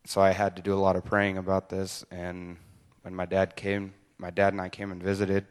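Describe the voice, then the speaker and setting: A young man from Colorado, a graduating student, speaking in a steady, measured way. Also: low-pitched, smooth, long reverb tail.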